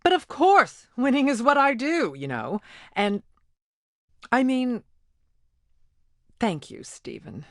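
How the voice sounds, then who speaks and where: A proud female cartoon warrior, theatrical and haughty. Her voice sits fairly high and moves through broad, lively swings at a deliberate pace. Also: no room sound, clean studio sound, heavily acted. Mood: smug, awkward. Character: proud, competitive female gem warrior turned reluctant ally. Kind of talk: animated game voice acting.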